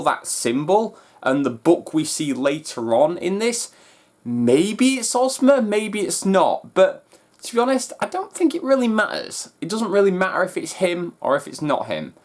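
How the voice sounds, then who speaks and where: A man hosting a fan reaction video, talking casually with enthusiastic, emphatic delivery. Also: a little high. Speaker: host of a fan reaction channel. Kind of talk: excited trailer reaction and casual breakdown.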